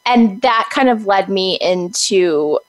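A woman guest in a casual interview, with a high-pitched, girlish voice, talking in a bubbly way and laughing often. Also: expressive, takes it slowly, no echo.

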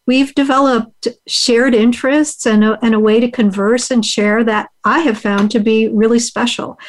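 A woman's voice: on the high side, darkish tone. Earnest woman guest on a video call. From United States. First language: Hungarian. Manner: earnest, measured, uses frequent fillers. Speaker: podcast guest, investor and author. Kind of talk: reflective interview answers.

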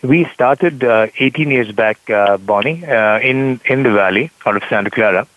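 A man's voice: medium pitch, normal speed. A man, an executive guest on a radio show, speaking earnestly with many hesitations. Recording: dull dry lo-fi line.

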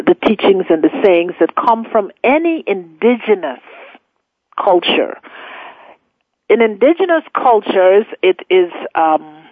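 A woman from Guyana hosting a radio spirituality course, speaking off the cuff in a deliberate, measured way with emphatic pauses. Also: lowish voice, dry recording. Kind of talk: unscripted radio talk answering listener questions. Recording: phone-like, narrow-band radio line.

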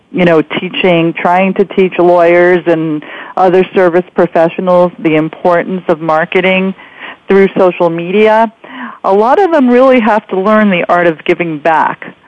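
A woman hosting a conversational interview, speaking in deliberate, halting phrases, on lo-fi radio audio. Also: fairly low pitch, no echo. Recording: dull lo-fi radio sound.

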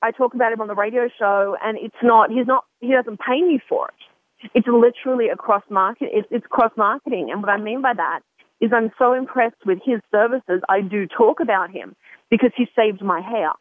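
A woman's voice: a little high, moderate pace, dry recording. A woman hosting a radio talk show, chatty and often restarting her sentences. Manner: chatty, self-interrupting, repeats words. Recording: narrow phone-like line.

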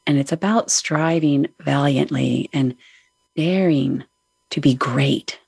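Middle-aged female host, deep breathy voice, slow soothing meditation guide, dry close sound. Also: no echo. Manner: soft, soothing, unhurried guidance. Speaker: podcast host.

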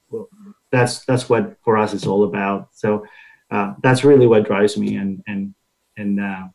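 A man in his forties from Costa Rica, a consulting manager, speaking as a podcast guest in a halting way, with many fillers and repeated words. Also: mid-range voice, a little mellow, low-quality recording. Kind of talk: unscripted guest remarks.

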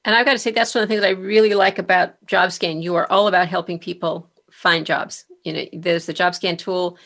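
A woman's voice: fairly low pitch, fairly even intonation, a little fast, fairly bright tone. A woman hosting a conversational interview in an encouraging, appreciative manner. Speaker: podcast host and career author.

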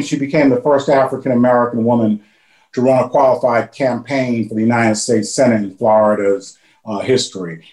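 A man's voice: mid-range voice, darkish tone. Man host in a roomy echo. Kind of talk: reading a guest introduction.

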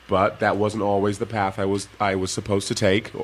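A man with a fairly low voice tells stories in a relaxed, easy way to young listeners. He laughs now and then as he goes. Faint noise sits behind him. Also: normal speed. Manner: relaxed storytelling, laughs often. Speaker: guest, former pro basketball player. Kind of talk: casual interview talk to kids.

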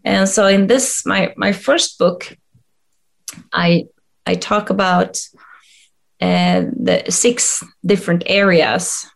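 Guest: middle-aged Swedish-accented woman, slow and gravelly, hesitant answers. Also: mid-range voice. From Sweden. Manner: hesitant, many ums and restarts. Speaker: guest, coach and HR expert. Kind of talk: interview answers.

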